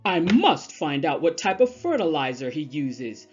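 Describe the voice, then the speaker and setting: A woman's voice: deep voice, big pitch swings, measured pace, husky, highs cut off, faint music behind, pitched below the performer's own voice, full character voice. A woman voicing a polite, well-meaning, misunderstood male wolf in a children's storybook. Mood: content. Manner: courteous, wistful, exclaiming when baffled.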